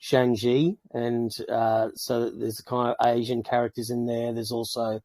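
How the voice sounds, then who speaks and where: A man's voice: mid-range voice, monotone, measured pace, darkish tone, no echo. A man answering questions in an online AMA as a project team member, casual and easygoing.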